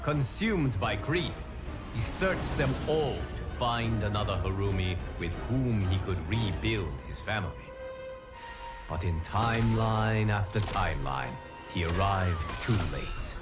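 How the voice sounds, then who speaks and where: A man playing a solemn godlike guardian of timelines, narrating video game cutscenes in a formal, measured, dramatic way. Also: on the low side, lively intonation. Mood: grave, ominous. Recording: loud cinematic music behind. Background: loud music.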